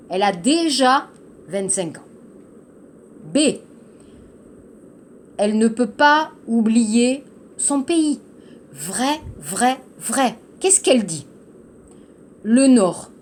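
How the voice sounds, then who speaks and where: A woman teaching to learners, explaining a text slowly and patiently, repeating key phrases and checking understanding. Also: high-pitched, a little hiss or hum. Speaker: French teacher. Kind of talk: slow classroom explanation to learners.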